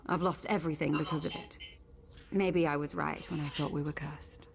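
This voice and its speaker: A woman's voice: low-pitched, steady tone, normal speed, vocal fry, crowd noise. A woman voicing a guilt-ridden, exiled female sea captain in a video game, speaking in a grim, remorseful way.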